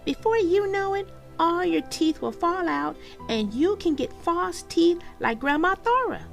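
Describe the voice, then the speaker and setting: A cheeky little girl put on by a woman teases in a high, bouncy storybook voice. She sounds like a know-it-all, with music underneath. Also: expressive, unhurried, creaky, exaggerated voice. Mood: teasing.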